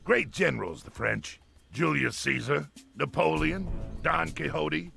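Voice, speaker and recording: An actor playing a boastful, bossy older male military colonel who talks in folksy slang, over loud game music. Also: a little high, lively intonation, a little slow, creaky, exaggerated voice.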